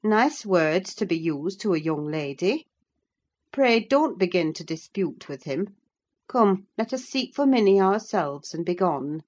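A woman's voice: lowish voice, animated, a little slow, darkish tone. A woman voicing a sensible nursemaid in an audiobook, placating and mildly chiding. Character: sensible, soothing nursemaid to a young lady. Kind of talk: audiobook character voice.

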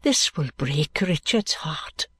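A woman voicing an earnest, considerate gentleman in an audiobook, polite and composed. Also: fairly low pitch, animated, slow, no echo, full character voice. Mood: tender, grave.

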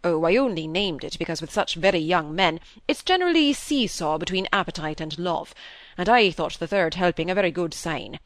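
A woman voicing a sensible middle-aged gentleman from a storybook, matter-of-fact and briskly impatient. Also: fairly low pitch, expressive, no echo, natural voice. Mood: dry, explaining.

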